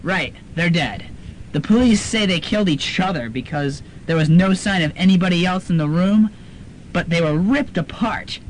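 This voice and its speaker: A man playing a dramatic, excitable college student, urgent and slangy, in a student radio drama. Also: sits high, normal speed, natural voice. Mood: urgent, ominous. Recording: noisy amateur student radio recording.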